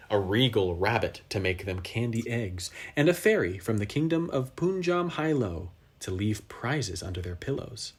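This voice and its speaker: A person reading a children's storybook aloud expressively, with dramatic pauses and asides to young listeners. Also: medium pitch. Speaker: storybook reader.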